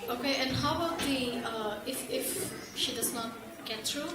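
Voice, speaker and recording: A woman's voice: high-pitched, normal speed. A mother in the audience asking hesitant questions about her daughter's schooling. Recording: echoey hall, distant mic, loud noise.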